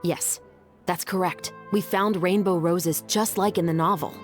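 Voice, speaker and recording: Woman as dutiful female game officer, slightly low pitch, measured, formal, earnest. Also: fairly even intonation, faint music behind, lightly acted. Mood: serious. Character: composed young female musketeer patrol officer, dutiful, earnest. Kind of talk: video game voice acting.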